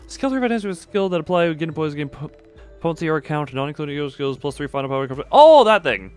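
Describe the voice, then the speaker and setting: A man's voice: a little high, sing-song, fast, a little mellow, clear, resonant tone, faint music behind. A man giving casual, playful live-stream gameplay commentary, rambling and muttering asides to himself.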